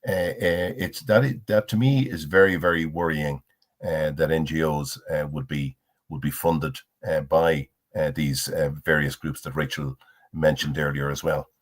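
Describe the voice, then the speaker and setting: A man with an extremely low voice joins a panel discussion. He speaks slowly and stops often on "uh" and "um". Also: steady tone. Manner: hesitant, heavy uh and um fillers. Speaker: panel guest.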